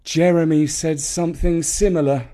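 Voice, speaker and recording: A man voicing a mild, put-upon father in a children's story, speaking in a measured, wry way. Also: on the high side, fairly even intonation, mellow, voice pushed up, natural voice. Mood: rueful.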